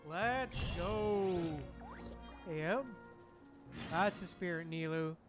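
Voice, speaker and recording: Unhurried streamer cheering a game along. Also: female voice, lowish voice. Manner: encouraging interjections, repeats phrases. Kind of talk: casual live gameplay commentary. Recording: faint game music behind.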